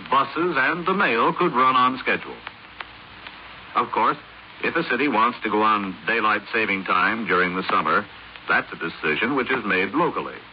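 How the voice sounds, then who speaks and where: An American man reading a scripted 1950s radio public service announcement in a polished, explanatory way. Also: medium pitch. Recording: muffled, hissy 1950s radio recording.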